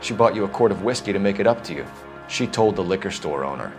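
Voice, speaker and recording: Stern young american detective, video game style, clipped n formal, swingy pitch, music behind. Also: male voice, expressive, fast. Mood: accusing. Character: stern, dogged young male police detective. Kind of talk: dramatic video game acting.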